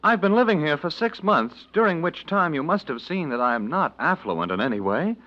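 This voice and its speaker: Wordy mocking lodger in old radio play, bright lively delivery, muffled vintage recording. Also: male voice, fairly high pitch, fairly quick. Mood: puzzled, suspicious. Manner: florid, theatrical, mocking. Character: witty, penniless male lodger, grandiloquent and sardonic. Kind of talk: 1940s radio drama acting.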